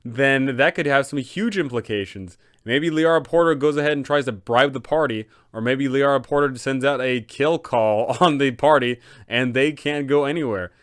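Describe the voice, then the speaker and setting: Male host for tabletop game guide: clear, resonant, medium pitch, dramatic and enthusiastic, close dry recording. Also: fairly bright tone, no echo. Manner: dramatic, emphatic storytelling delivery. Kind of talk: enthusiastic scripted explainer for game masters.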